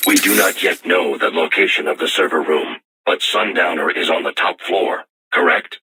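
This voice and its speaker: A man voicing a solemn self-aware robot war machine in a video game, with formal, measured, stilted diction and robotic processing on the voice. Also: low voice, background noise. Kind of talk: scripted video game voice acting.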